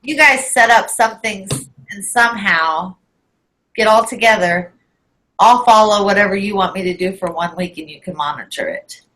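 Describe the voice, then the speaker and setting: A woman's voice: medium pitch, normal speed, sharp tone, rough recording. A woman in her forties, talking casually and emphatically as a guest on a chat show. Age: 40s. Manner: emphatic, insistent.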